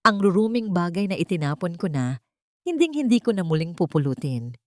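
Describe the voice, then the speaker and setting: A woman's voice: lowish voice, very animated, a little fast, no room sound, clean studio sound. A woman narrator voicing a proud, wounded older sister in an audio story, firm and defiant.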